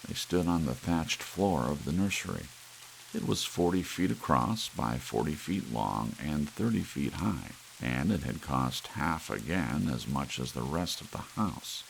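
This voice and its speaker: A man narrating a scripted suspense story as an ASMR audiobook, with soft rain behind him. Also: very deep voice, lively intonation, measured pace, creaky, low-quality recording. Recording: faint hiss and soft rain.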